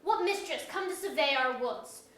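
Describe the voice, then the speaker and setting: A woman's voice: high-pitched, lively intonation, normal speed, a bit bright. A woman playing a haughty, taunting woodland fairy in a staged verse drama, with accusing archaic speech. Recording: echoey auditorium, recorded from far off.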